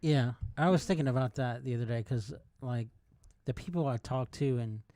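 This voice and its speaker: A man's voice: medium pitch, moderate pace, dark tone, dry recording. Conversational man in his forties, dry sound. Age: 40s. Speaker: podcast co-host. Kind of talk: casual chat.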